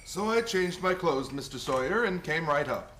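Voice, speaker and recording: A man acting a kindly, unflappable old Santa Claus in a radio play, genial, gently teasing and unhurried. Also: a little high, lively intonation, slight background noise.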